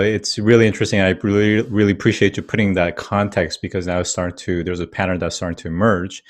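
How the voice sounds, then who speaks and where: Low-voiced man hosting a video interview. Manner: measured, affirming questioner. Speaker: host. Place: room with a wood-panel wall. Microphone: video call with a large studio mic.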